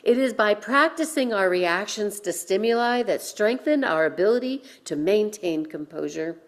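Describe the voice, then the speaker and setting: A woman minister delivering a sermon with measured, deliberate phrasing and pauses, guiding listeners in a reflective way. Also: mid-range voice, expressive. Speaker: minister leading a worship service. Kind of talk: sermon with guided breathing.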